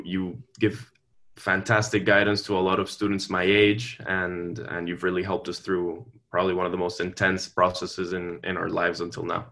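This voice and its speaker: A man's voice: low-pitched, pushed and bright. A young man from Venezuela, a university student answering interview questions in an earnest, polite way, with long careful sentences and small restarts. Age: young adult. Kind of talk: conversational interview.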